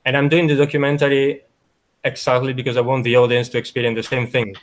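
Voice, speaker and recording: A man making a documentary, speaking earnestly and in a measured way as he responds to his interview guest. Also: mid-range voice, level tone. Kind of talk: interview remarks.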